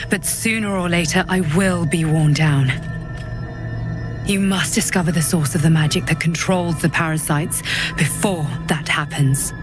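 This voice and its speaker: Game role: weary, earnest protector from a dream, grave and unhurried, over prominent dark score. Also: female voice, deep voice. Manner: measured, earnest, solemn. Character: mysterious dream visitor, urgent, battle-weary protector. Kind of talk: dramatic video game cutscene acting. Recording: loud ominous game music behind.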